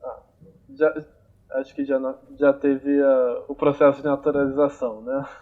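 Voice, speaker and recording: A young man hosting a podcast in a relaxed, casual way, thinking aloud with many fillers, recorded on amateur home gear. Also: mid-range voice, moderate pace, narrow, tinny audio. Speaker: podcast host. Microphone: amateur home recording, phone or computer.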